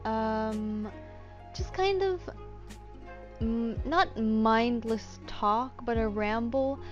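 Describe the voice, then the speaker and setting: A woman's voice: on the high side, extremely slow, muffled recording, faint music behind. A woman talking casually and unscripted through a tutorial, explaining things in a rambling way. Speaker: voice actor giving a tutorial.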